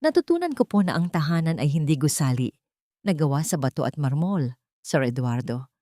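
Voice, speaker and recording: A woman voicing a humble, respectful housemaid who speaks with polite, measured sincerity in an audiobook drama. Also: deep voice, sing-song, dark tone, no room sound. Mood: sincere, resolute.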